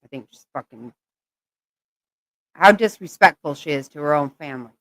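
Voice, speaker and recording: Woman host, low voice, dry sound, profane exasperated commentator. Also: lively intonation, moderate pace, a bit bright, no room sound, low-quality recording. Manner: blunt, swearing, exasperated. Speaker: channel host reacting to clips. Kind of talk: angry reaction commentary.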